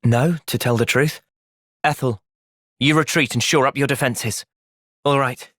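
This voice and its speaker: A man playing an earnest, gentle, resolute young soldier in video game cutscenes. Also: medium pitch, fairly even intonation, a little slow, dry recording, clean studio sound, close to an everyday voice. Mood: reassuring. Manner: earnest, thoughtful. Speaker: voice actor.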